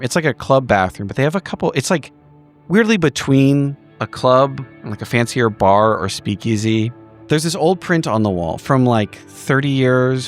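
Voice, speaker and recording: Male tabletop player, mid-range voice, improvised descriptive narration with pauses, light music bed. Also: medium pitch. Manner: halting, descriptive. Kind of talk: improvised third-person scene narration.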